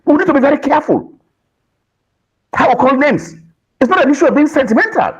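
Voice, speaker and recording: A man's voice: very high-pitched, big pitch swings, fast, highs cut off. A Nigerian man arguing as a guest on a political panel, emphatic and repetitive, with rhetorical questions.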